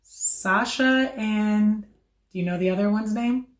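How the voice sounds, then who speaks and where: A woman's voice: mid-range voice, takes it slowly. Woman teaching in an echoey room. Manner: encouraging, repeats and confirms answers. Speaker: host of a children's read-aloud. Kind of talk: educational chat, quizzing a child.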